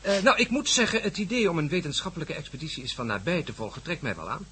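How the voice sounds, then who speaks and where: A man's voice: fairly high pitch, big pitch swings, quick pace, sharp tone, husky, exaggerated voice. An actor playing a bustling, self-important male television boss in a Dutch radio drama, emphatic and businesslike. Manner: emphatic, businesslike, repeats himself.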